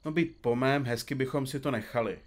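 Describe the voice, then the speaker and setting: A man plays a theatrical male detective from a comedy radio play, dictating to his recorder. He is wordy and a little pompous, with a medium-pitched voice, and likes to tease. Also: lightly acted. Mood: chatty. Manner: florid, pompous, teasing. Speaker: detective in a comedy mystery podcast. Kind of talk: audio drama acting with florid narration.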